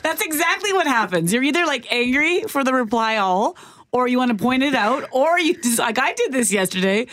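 A woman's voice: high voice, very animated, fairly quick, fairly bright tone, dry recording. A woman co-hosting a radio show in casual banter, blunt and teasing.

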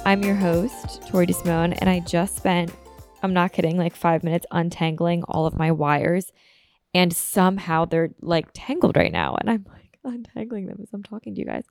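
A woman's voice: lowish voice, expressive, normal speed, creaky, a little mellow, dry recording, faint music behind. A woman in her twenties hosting her own podcast alone, rambling casually with lots of fillers, a little sniffly from a cold. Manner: rambling, chatty, filler-heavy. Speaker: podcast host. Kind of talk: casual solo podcast chat.

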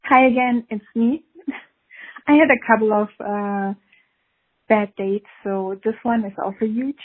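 A woman's voice: on the high side, steady tone, a little slow, slightly rough. A woman from Switzerland with a Swiss accent, calling in to tell a dating story candidly and laughing through her embarrassment. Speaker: caller sharing a dating story. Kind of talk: casual recorded confession. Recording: tinny phone-line recording.

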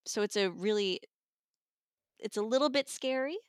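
A woman's voice: mid-range voice, moderate pace, smooth, dry recording, clean studio sound. A middle-aged woman talking casually as an audiobook reviewer.

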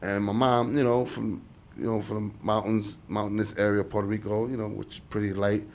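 A man's voice: fairly low pitch, level tone, brisk, darkish tone, slight background noise. A man in his forties from Chicago, a guest in a casual interview, telling stories in a loose rambling way full of "you know". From Chicago, USA. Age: 40s. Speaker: interview guest, former boxer.

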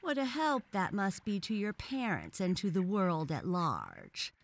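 A woman's voice: on the low side, lively intonation, takes it slowly, darkish tone, pitched above the performer's own voice, exaggerated voice. A woman voicing a pompous, severe male frog professor who lectures with scorn in a children's storybook. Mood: sarcastic.

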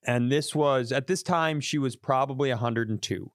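Animated swearing male podcast storyteller. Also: medium pitch, moderate pace, no room sound, studio quality. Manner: animated, swearing, restarts mid-sentence. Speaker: comedian podcast host. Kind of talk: casual storytelling chat.

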